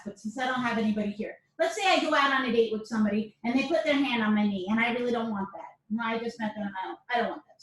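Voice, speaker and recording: Woman, high voice, chatty self-defense teacher, very echoey room, mic set back. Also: far from the mic. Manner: chatty, repeats words, asks "all right?" often. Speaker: karate and self-defense instructor. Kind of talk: casual class lecture to camera. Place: dojo or training room.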